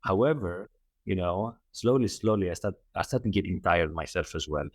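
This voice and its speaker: Middle-aged Greek man, Greek accent, very deep voice, earnest guest who repeats phrases, dry mic. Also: expressive, normal speed, darkish tone, no room sound. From Greece. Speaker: guest, holistic coach. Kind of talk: interview answers.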